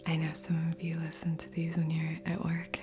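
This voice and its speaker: A woman speaking in a soft, breathy whisper as a gentle, soothing host of an ASMR guided meditation. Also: sits low, monotone, moderate pace. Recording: soft music under voice. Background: background music.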